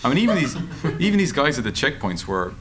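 An Irish man answering interview questions in a halting, informal, anecdotal way at a table microphone. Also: medium pitch. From Ireland. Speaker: journalist and author being interviewed. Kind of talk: conversational interview answers at a public discussion. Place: meeting room at a table. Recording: steady background room noise.

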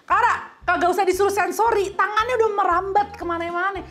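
A woman's voice: very high-pitched, quick pace, soft background music. A woman in her thirties with a Betawi accent, chatting casually and animatedly as a guest. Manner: chatty, animated, with playful put-on voices. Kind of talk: casual chat. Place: bright indoor room.

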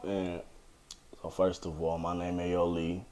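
A man's voice: low voice, completely flat delivery, a little slow, mellow. A young man from Kenya, a guest chatting casually in slangy talk, laughing often. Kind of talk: casual chat.

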